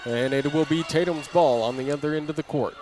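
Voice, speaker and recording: A man's voice: fairly high pitch, brisk. A man giving live basketball play-by-play commentary from a noisy gym with a crowd. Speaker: sports play-by-play announcer. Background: crowd and bouncing basketball in gym.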